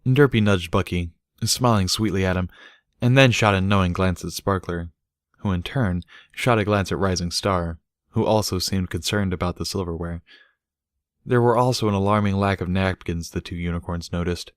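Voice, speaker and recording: Deep-voiced man narrating a story. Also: normal speed, smooth, no echo, clean studio sound. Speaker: audiobook narrator.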